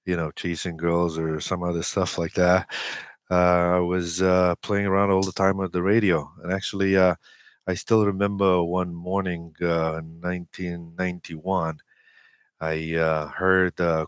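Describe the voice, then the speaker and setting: A man in his forties with a Romanian accent, a native Romanian speaker, telling personal stories as an interview guest in a halting, hesitant way with many pauses. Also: low-pitched, little pitch movement, clear, resonant tone, no room sound, clean studio sound. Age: 40s. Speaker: interview guest, amateur radio operator.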